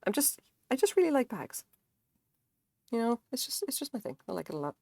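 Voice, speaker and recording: A woman's voice: on the high side, very animated, fairly quick, vocal fry, a little mellow, no echo. A woman in her thirties with an Irish accent chatting casually on a live stream, rambling, swearing freely and laughing often. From Ireland. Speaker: crochet streamer.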